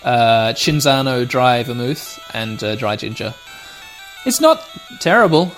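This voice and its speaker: A man in his thirties with a slightly hoarse voice, hosting a let's play with rambling, sweary, mock-dramatic gameplay commentary. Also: mid-range voice, sing-song, measured pace, fairly bright tone. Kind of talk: casual gameplay commentary with nostalgic asides. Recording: music playing behind the voice. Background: music.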